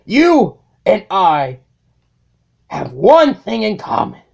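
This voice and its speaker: A woman playing a cold, contemptuous robot warlord in a comic dub, speaking with slow, grandiose menace. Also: medium pitch, huge pitch swings, creaky, darkish tone, husky, exaggerated voice. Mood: bitter. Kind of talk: comic dub dramatic acting.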